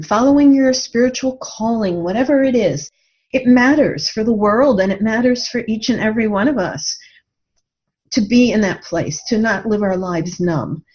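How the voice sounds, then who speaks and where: A woman's voice: a little high, darkish tone. A woman speaking as a guest author in a conversational interview, in an earnest, reflective manner. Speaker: guest author promoting a book. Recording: dull, muffled lo-fi audio.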